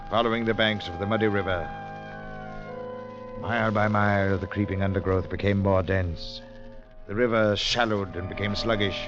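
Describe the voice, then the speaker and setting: An actor playing a cultured gentleman adventurer who narrates a vintage radio drama in a measured, earnest way. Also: fairly low pitch, close to an everyday voice. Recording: muffled old radio broadcast with music.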